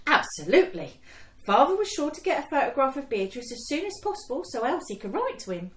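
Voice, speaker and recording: An actress playing a chatty, excitable young woman of the home front in a wartime radio play. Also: sits high, brisk, a little hiss or hum. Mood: subdued. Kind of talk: period radio drama acting. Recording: echoey room.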